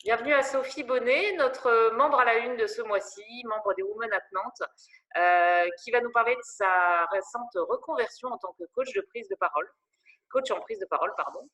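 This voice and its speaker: A woman's voice: a little high, moderate pace, a bit bright. A woman interviewing a guest for a women's network, asking questions with many hesitations. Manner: hesitant, many fillers and restarts.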